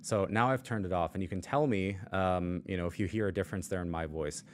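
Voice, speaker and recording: A man with a low voice explaining microphone settings in a casual live demo, with lots of fillers, speaking into a studio mic at his desk. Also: fast, slight background noise. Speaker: product demo presenter. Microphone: studio mic on shock mount and arm.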